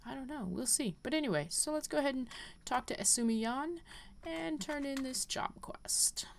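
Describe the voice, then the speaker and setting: A woman giving casual game playthrough commentary, rambling and thinking out loud as she goes. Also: sits high, animated, normal speed, gravelly, slight background noise. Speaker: gaming video host.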